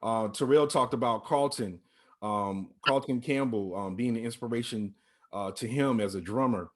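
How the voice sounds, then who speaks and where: A man's voice: mid-range voice, normal speed. A man hosting a casual interview, rambling and repeating himself as he encourages his young guests. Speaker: host. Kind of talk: casual church-music interview.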